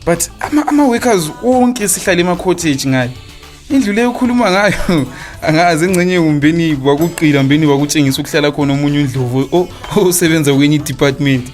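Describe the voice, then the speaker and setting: A man's voice: on the high side, fast, faint music behind, close to an everyday voice. A man with a Zimbabwean accent acting an evasive, philandering husband in a radio drama, stammering through his excuses. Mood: defensive, irritated. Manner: stammering excuses, switching into English phrases. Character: evasive philandering husband and father, smooth-talking, defensive.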